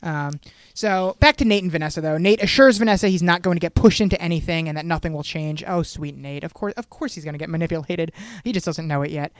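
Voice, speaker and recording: A podcast co-host rattles through an episode recap at a brisk clip, swearing freely and slipping into the characters' lines. The sound is dry and close, with no room around the voice. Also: medium pitch, no echo. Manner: fast, animated, swears, acts out quotes. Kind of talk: casual TV recap chat.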